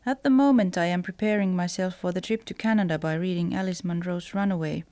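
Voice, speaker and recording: A woman's voice: lowish voice, quick pace, warm tone, no room sound. A woman from Finland with a Finnish accent, a writer reading personal letters aloud.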